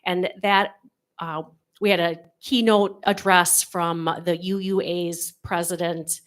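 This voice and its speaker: A woman, the congregation's president, giving an earnest announcement to the congregation with many pauses and fillers. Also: lowish voice, fairly even intonation. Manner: earnest, with many ums and restarts.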